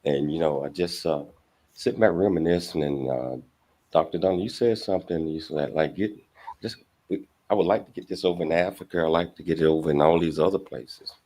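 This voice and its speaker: Guy hosting a talk show, super deep voice, rambly and chummy, casual chat. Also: fairly quick, dark tone.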